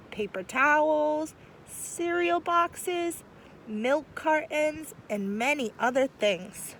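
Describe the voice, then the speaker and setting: A woman's voice: high-pitched, slight background noise, poor audio. A woman teacher reading a picture book aloud to children, with chatty asides. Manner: slow, warm storytime delivery with lively asides. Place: outdoors near trees.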